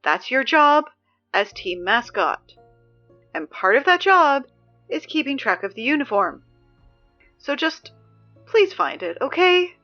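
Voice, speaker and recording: A woman's voice: high-pitched, very animated, slow pace, pauses often, sharp tone, dull audio, faint music behind, exaggerated voice. An actress playing a teenage girl who reads school announcements over the speakers, dry and exasperated. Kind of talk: audio drama reading school announcements.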